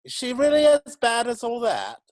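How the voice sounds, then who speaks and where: A man's voice: extremely high pitch, huge pitch swings, moderate pace, a little mellow, dry recording, studio quality, exaggerated voice. A man playing a cheerful, kindly London chimney sweep in an amateur musical read-through, folksy and reassuring. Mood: cheerful, friendly.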